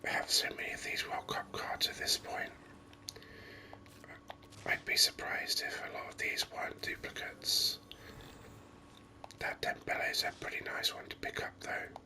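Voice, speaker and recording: Whispering ASMR gamer, very bright and breathy. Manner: soft unhurried whispering, musing. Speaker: gaming video host. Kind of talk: whispered ASMR gaming commentary.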